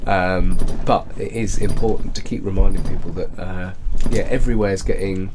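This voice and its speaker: A man's voice: low-pitched, fairly even intonation, moderate pace, background noise. A young man with an English accent presents in a chatty, bantering style. His voice is going and he sounds sniffly. He is recorded in a small indoor room, with music audible. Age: young adult. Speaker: show host. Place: small cluttered storeroom office, indoors.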